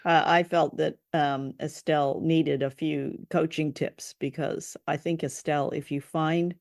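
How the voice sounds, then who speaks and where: A man co-hosting a show in casual review chat, with deadpan, teasing dry humour. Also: on the high side, a little slow, gravelly, dry recording. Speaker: co-host of a show reviewing old radio dramas.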